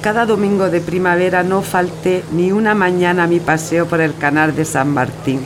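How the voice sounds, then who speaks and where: A woman's voice: fairly low pitch, fairly even intonation, moderate pace, close to an everyday voice. A woman playing a resolute, composed lover saying farewell in a radio play. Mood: resolute, wistful. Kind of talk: radio theatre acting. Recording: music and engine noise behind.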